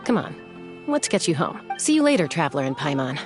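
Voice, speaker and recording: An actress voicing a composed, sensible young woman in a video game, dry and measured. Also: low voice, sing-song. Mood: calm, dry. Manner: measured, dry, matter-of-fact. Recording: music behind the voice. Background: game music.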